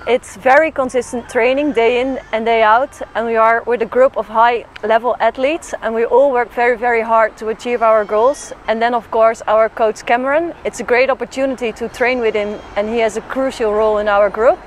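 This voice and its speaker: A woman's voice: fairly high pitch, fairly even intonation, normal speed, few pauses, a little hiss or hum. Earnest young woman, lapel mic. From Netherlands. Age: young adult. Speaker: long course triathlete. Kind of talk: scripted self-introduction. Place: outdoors beside a swimming pool.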